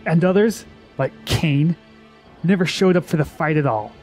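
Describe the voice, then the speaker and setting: A man's voice: fairly high pitch, moderate pace, a little mellow, soft background music, lightly acted. A man playing a shaken, defeated young warrior in a fantasy radio drama, giving his report in a dramatic, fervent manner. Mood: shaken, grave.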